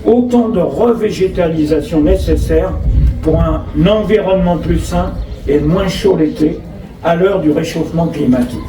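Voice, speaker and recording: High-voiced Frenchman giving a speech, echoey and distant. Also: normal speed. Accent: French from France. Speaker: local official giving a speech. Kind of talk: inauguration speech, read from notes with ad-libbed asides. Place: outdoor school forecourt, public address. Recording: roomy echo, distant mic, clear background noise.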